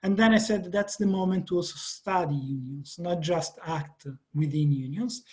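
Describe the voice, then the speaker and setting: A man's voice: sits high, darkish tone. A man from Italy with an Italian accent, speaking as a panelist at an online academic conference, earnest and often restarting his sentences. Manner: earnest, searching for words, frequent restarts.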